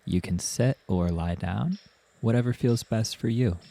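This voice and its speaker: A man narrating a guided meditation as the guide, in a soothing, gentle manner with unhurried instructions. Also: lowish voice, creaky.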